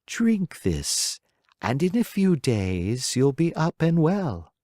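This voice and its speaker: A storyteller voicing a patient, motherly fairy who coaxes gently but firmly. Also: medium pitch, sing-song, slow pace, no echo, clean studio sound. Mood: coaxing. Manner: gentle, coaxing and firm.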